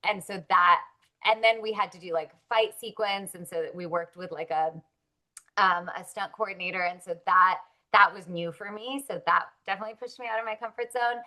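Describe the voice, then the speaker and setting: A woman from Russia talks through her acting work in a relaxed interview. Her voice sits at a middle pitch with a bright, forward tone. She speaks in a chatty, upbeat way, with plenty of likes and ums. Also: fairly quick. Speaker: actress, interview guest. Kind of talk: casual interview chat.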